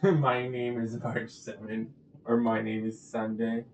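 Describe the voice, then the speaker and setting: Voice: medium pitch, level tone, measured pace, a little mellow, a little hiss or hum. A game streamer giving casual, playful commentary full of silly jokes over gameplay. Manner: goofy, playful. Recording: echoey, muffled audio with faint music.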